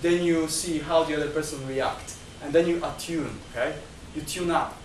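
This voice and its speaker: Man giving a lecture, slightly high voice, restarts often, roomy echo, heard from a distance. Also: steady tone, normal speed, slight background noise. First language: not Chinese. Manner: numbered points, frequent restarts and repeated words. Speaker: guest speaker. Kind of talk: lecture-style talk. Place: talk venue, indoors. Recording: echoey room, mic at a distance.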